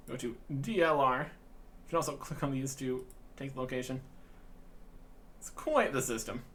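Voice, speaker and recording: A man's voice: a little high, fairly bright tone. Understated man, casual gaming commentary. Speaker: video game let's player.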